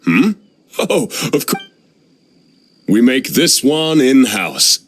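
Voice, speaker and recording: A man voicing a busy, obliging restaurant chef in an anime-style video game, with an expressive delivery. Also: medium pitch, slow.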